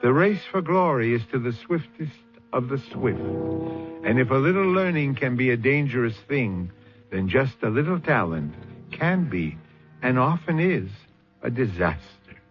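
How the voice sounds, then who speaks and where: A man closing a radio drama with a measured, wry reflection and reading the credits. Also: mid-range voice, big pitch swings. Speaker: host. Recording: muffled old radio with faint music.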